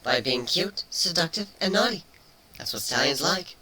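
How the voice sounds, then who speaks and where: A man voicing a playful, teasing female changeling pony in a cartoon fan fiction reading, matter-of-fact even with mock threats. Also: fairly high pitch, moderate pace, over a noisy crowd, voice pushed up, close to an everyday voice, poor audio. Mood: seductive, sly.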